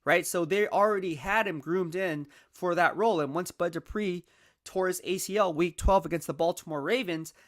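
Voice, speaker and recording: A man's voice: high voice, moderate pace. A man hosting his own football analysis show, talking casually and energetically, often checking in with "right?", while losing his voice. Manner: energetic, conversational, peppered with "right?" and "all right?". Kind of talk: casual sports commentary monologue.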